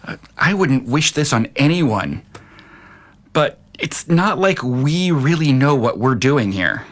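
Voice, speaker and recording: A man's voice: medium pitch, expressive, slow. Man acting as a skeptical middle-aged father. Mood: doubtful. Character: skeptical, stern but reasonable middle-aged husband and father. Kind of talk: audio drama dialogue.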